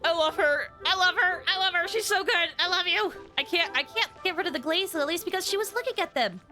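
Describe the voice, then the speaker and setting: A woman's voice: very high voice, fairly quick, pushed and bright. A woman game streamer giving casual live commentary with exclamatory, gushing reactions. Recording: game music behind the voice.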